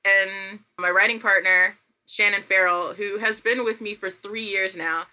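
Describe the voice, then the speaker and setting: A woman chatting casually as a podcast guest, telling self-deprecating stories and acting out her own thoughts in an animated way. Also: mid-range voice, measured pace, rough recording. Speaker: podcast guest, writer and creator. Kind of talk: casual chat, anecdotal storytelling.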